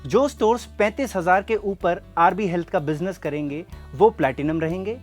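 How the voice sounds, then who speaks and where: A man's voice: high-pitched, normal speed, soft background music, natural voice. A man playing an earnest, persuasive sales rep, explaining things directly to the listener in a scripted training film. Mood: persuasive, polite. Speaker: presenter and sales representative. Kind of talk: scripted training film narration and role-play.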